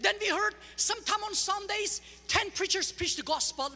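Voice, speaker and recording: Armenian-accented woman guest speaker, very high and bright, gravelly edges, church hall reverb. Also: moderate pace. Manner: lively, humorous, teaching the crowd. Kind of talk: church testimony. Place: large church sanctuary. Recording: large church hall reverb with faint music.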